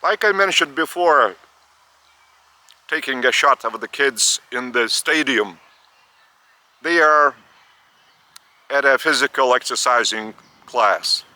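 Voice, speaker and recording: Older male spiritual teacher, Lithuanian accent, slow and halting, bright tense tone, outdoors. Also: medium pitch, expressive, no room sound. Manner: slow, halting, repeats words. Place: outdoors by a school stadium.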